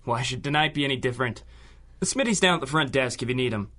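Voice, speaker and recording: An actor playing an easygoing museum employee in a radio drama, talking in a familiar, casual way. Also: male voice, mid-range voice, lively intonation, fast, sharp tone. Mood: friendly.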